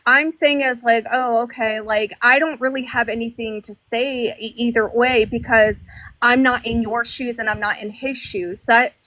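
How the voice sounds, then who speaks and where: A woman hosting a casual live-stream talk, speaking bluntly in a flat, questioning way. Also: on the high side, moderate pace, dry recording, rough recording.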